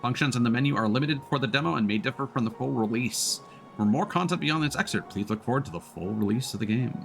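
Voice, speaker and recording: A man's voice: medium pitch, expressive, fast talker, gravelly, a bit bright. A man giving casual gaming commentary, thinking aloud and sometimes reading on-screen text. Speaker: streamer. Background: game music.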